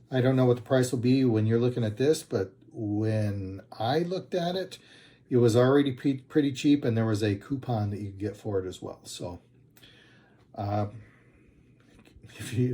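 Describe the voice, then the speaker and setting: A man's voice: medium pitch, dark tone. A man giving a casual, unscripted product review in a plain-spoken, hesitant and rambling way.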